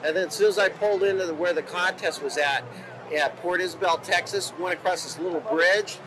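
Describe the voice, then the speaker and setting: A man in his fifties telling a road-trip anecdote in a lively, rushing way. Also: high-pitched. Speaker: interviewee. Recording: noisy outdoor recording.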